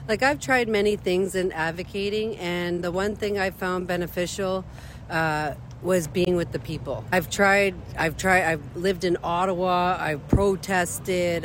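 A woman's voice: lowish voice, a little hiss or hum. A woman from Northern Ontario, an advocate speaking earnestly and plainly in an outdoor interview, with fillers here and there. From Northern Ontario, Canada. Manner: heartfelt, plain-spoken, with frequent fillers. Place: outdoors beside a street.

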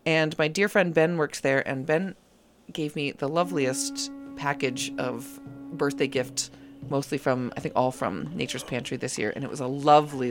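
A woman hosting a live community radio show, chatting playfully and drifting off topic. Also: a bass voice. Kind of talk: casual live radio chat. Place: local community radio studio.

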